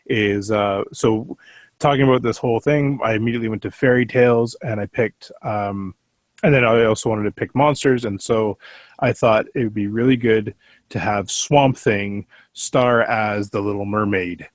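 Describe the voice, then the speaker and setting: A man's voice: lowish voice, moderate pace, no echo. A man chatting casually as a panelist on a group show. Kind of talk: casual chat.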